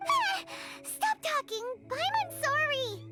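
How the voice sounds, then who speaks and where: A woman voicing a tiny, childlike fairy companion in a video game, squeaky, cheeky and exclamatory, with music behind her. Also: very animated, slow, full character voice. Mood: whiny, flustered. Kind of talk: scripted video game dialogue.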